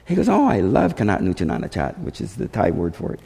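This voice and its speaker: A man with a gravelly, mellow voice tells missionary stories as a testimony. He is animated in how he relays who said what. The voice rings through a reverberant hall. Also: deep voice, quick pace. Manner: animated, acts out dialogue. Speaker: missionary speaker. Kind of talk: testimony storytelling to a congregation.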